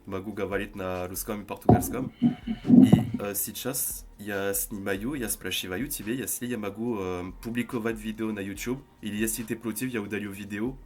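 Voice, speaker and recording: A man's voice: lowish voice, fairly even intonation, dark tone, faint music behind. A man, speaking a learned language hesitantly over a video call. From France. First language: French. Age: 20s. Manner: halting, hesitant with many fillers.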